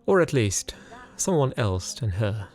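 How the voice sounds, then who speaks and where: A man's voice: on the low side, big pitch swings, a little slow, a little mellow. A man narrating a scripted game review as its host.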